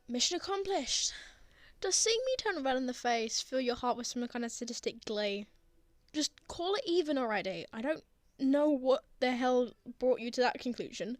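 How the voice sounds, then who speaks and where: A woman playing a prickly, flustered, lovestruck young man in an intimate ASMR roleplay, with halting, sardonic delivery. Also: high-pitched, no echo.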